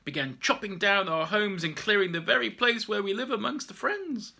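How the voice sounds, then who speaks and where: Small sad sun bear, high and husky, earnest, a man puts it on. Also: lively intonation, moderate pace, sharp tone, full character voice. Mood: plaintive.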